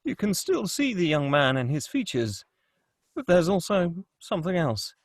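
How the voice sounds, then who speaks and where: A man's voice: high-pitched, sing-song, moderate pace, no room sound, clean studio sound. A man reading screenplay action and scene directions as scripted narration at a table read.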